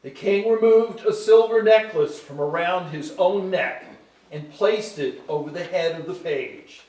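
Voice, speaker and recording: A man's voice: sits high, unhurried, a little mellow. A man reading scripted storybook narration for a staged musical. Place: indoor hall, live staged reading. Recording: roomy, distant live stage pickup.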